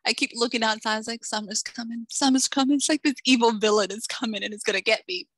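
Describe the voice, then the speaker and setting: A woman's voice: on the high side, fast talker, no echo. A middle-aged woman author from the Dominican Republic, raised in Massachusetts, chatting in a casual interview and acting out the voices of people in her stories. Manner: animated storyteller, acts out quoted voices. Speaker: author guest.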